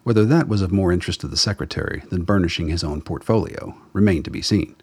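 A man's voice: low voice, quick pace, darkish tone, breathy edge, no room sound. A man reading scripted dramatic narration as the narrator of a radio play.

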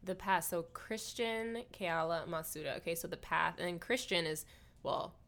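A woman's voice: on the low side, normal speed, creaky, fairly bright tone. A woman hosting a podcast interview in a casual, chatty way, often saying "like" as she goes. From Hawaii. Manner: informal, chatty, frequent "like". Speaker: podcast host interviewing a guest.

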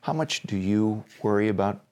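A man with an American accent hosting an interview, asking questions in a gentle, carefully phrased way. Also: on the low side, moderate pace. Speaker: interview host.

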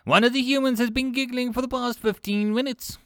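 A man voicing a small, excitable flying alien commander who talks in a snappy, fretful, exasperated way in a story. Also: extremely high pitch, a little fast, clear, resonant tone, dry recording, studio quality, voice pushed up.

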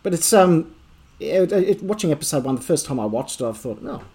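A man's voice: fairly high pitch, very animated, brisk, creaky, warm tone, husky. A man talking casually on a podcast, chatty and hedging with fillers. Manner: chatty, hedging with ums and "I guess".

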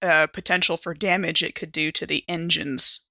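A woman playing a tabletop game, talking excitably and laughing often. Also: deep voice, moderate pace, vocal fry, slight background noise. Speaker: player. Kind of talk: casual tabletop game talk.

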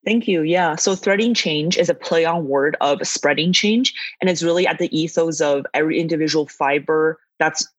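A woman's voice: low voice, normal speed, hardly any pauses, slightly rough, no room sound. On a slightly muffled, dry recording, a young woman chats as a podcast guest. She is enthusiastic and conversational. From born in northern China, grew up in western Canada from age eight. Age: young adult. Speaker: podcast guest, youth climate advocate. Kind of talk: conversational interview.

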